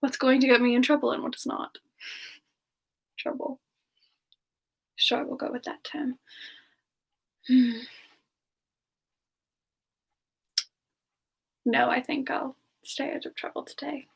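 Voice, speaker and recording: A woman playing a playful, affectionate and coy female demon siren, speaking softly and hesitantly in a semi-ASMR question-and-answer style. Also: high-pitched, little pitch movement, a little slow, gravelly, long pauses, breathy edge, exaggerated voice. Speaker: host answering audience questions in character.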